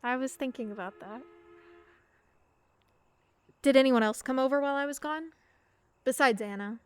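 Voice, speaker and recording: Woman playing a shy teenage girl, soft-spoken, hesitant, radio play, higher voice. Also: normal speed, clear, resonant tone, no echo, close to an everyday voice. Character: shy teenage girl, new in town, quiet. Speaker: daughter.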